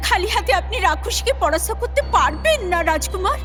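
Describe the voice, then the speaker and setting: Proud young princess, really high swooping voice, formal fairy tale drama, music behind. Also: female voice, expressive, a little fast. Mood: proud, urgent. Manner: earnest, formal, emphatic. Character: young brave, proud warrior-born princess.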